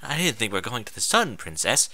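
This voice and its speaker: A man's voice: mid-range voice, big pitch swings, fast talker, bright, forceful tone, no room sound, heavily acted. A man voicing a timid, frightened little pony colt with a shaky, pleading manner in a storybook reading. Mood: scared, shaky.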